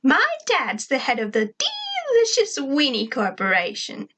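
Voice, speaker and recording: A young schoolgirl from a cartoon-style story, acted by a woman narrator, pitched well above an everyday voice. She is perky and showy, with a sweet but bossy push to her delivery. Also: huge pitch swings, measured pace, fairly bright tone, slight background noise, exaggerated voice. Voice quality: high-pitched. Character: perky young new schoolgirl with a high accent.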